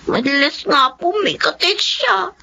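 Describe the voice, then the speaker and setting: A woman's voice: high voice, expressive, measured pace, gravelly, sharp tone, heavily acted. A woman playing a young, dutiful and hurt daughter in a Filipino radio drama, polite and earnest with a plaintive delivery. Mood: respectful, uneasy. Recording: dull lo-fi audio with faint noise.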